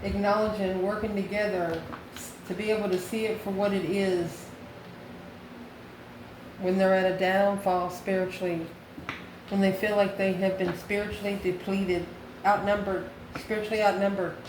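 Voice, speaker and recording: A woman's voice: medium pitch, level tone, moderate pace. A woman from the United States giving a casual, off-the-cuff tarot reading, musing aloud and answering her own questions. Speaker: tarot card reader. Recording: echoey room, clear background noise.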